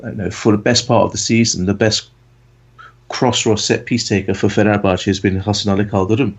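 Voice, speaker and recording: A man's voice: on the low side, little pitch movement, moderate pace, husky. A man in his late thirties from England, co-hosting a casual football podcast, talking in a rambling, hesitant way full of ums. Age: 30s. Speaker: podcast co-host. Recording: dull lo-fi audio.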